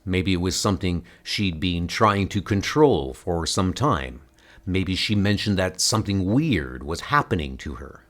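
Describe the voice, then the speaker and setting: Guy doing a serious older comic book hero, deep and slow, earnest explainer type. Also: expressive, fairly bright tone. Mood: grave, earnest. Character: grave older male scientist hero hunting missing niece.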